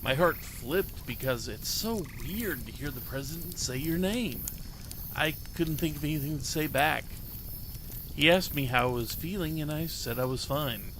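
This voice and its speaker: A man voicing an excitable, earnest ten-year-old boy reading his diary in a dramatic short story. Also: fairly high pitch, normal speed, noisy background, natural voice. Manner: naive, childlike, eager phrasing.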